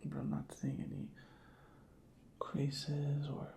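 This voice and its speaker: A soft-spoken ASMR creator murmuring methodically in a breathy, whispery voice, unhurried and close to the microphone. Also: medium pitch, completely flat delivery. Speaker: ASMR creator playing a record inspector.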